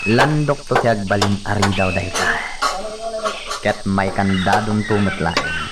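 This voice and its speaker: A man's voice: fairly low pitch, measured pace, heavy background noise, close to an everyday voice. A man playing a cruel, gloating young master in an Ilocano radio drama, menacing and commanding, with an Ilocano accent. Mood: gloating menace. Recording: loud background music.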